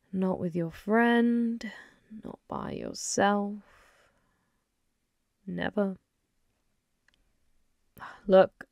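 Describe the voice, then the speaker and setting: A woman playing a flirty, possessive, taunting female demon in an ASMR roleplay, slow and intimate, often slipping toward a whisper. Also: medium pitch, creaky, darkish tone, no room sound, studio quality.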